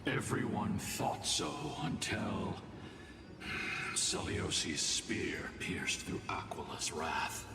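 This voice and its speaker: Slow, deep, echoing ancient male guardian. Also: in a whisper. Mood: solemn. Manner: solemn, measured, formal. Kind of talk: scripted video game voice acting. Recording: cavernous echo, distant, loud game music.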